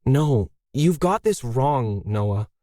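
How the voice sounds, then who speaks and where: A man's voice: medium pitch, animated, slow pace, dark tone, dry recording, studio quality. A man voicing a middle-aged woman artist in a story, defiant and indignant, tightly holding back anger. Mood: tight, controlled.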